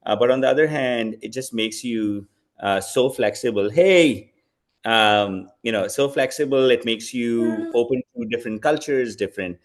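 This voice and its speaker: A man from Pakistan in his thirties, a company founder speaking as guest in a fireside chat, relaxed and reflective with many fillers. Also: mid-range voice, moderate pace.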